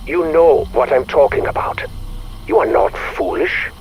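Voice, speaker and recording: An actor playing a patient but suspicious scientist in a video game, formal and measured. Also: male voice, on the high side, a little hiss or hum, close to an everyday voice, rough recording. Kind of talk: video game audio log acting.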